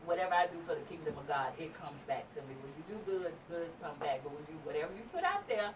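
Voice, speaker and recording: A middle-aged woman hosts her talk radio show in a chatty way, laughing often and slipping into preachy asides. Her voice sits a bit low. She sounds far from the mic, with clear room noise behind her. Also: animated, fast talker. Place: small studio room with a table of mics.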